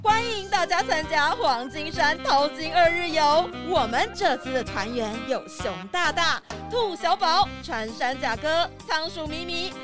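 一位女演員在兒童廣播劇中扮演熱情招呼、指揮團員的變色龍旅行團團長。. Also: female voice, extremely high pitch, lively intonation, unhurried, few pauses, music in the background. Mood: welcoming. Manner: announcing, organising the group. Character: welcoming, brisk chameleon tour leader. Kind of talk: children's detective audio drama acting.

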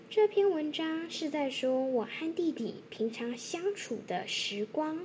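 A woman's voice: high voice, dark tone, slight background noise. A young girl with a Taiwanese Mandarin accent giving a scripted talk as a student author. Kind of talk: scripted self-introduction.

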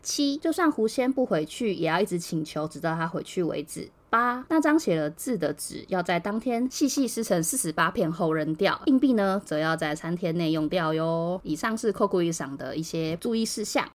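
A woman's voice: on the low side, animated, moderate pace, hardly any pauses. A woman podcast host reading out scripted advice point by point. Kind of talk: scripted explainer, reading a numbered list.

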